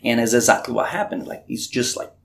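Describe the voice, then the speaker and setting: Gravelly-voiced Brazilian man telling a story. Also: mid-range voice, a little fast, a bit bright, slight background noise. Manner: storytelling, acts out quoted dialogue, frequent fillers. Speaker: missionary leader, guest. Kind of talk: conversational testimony interview.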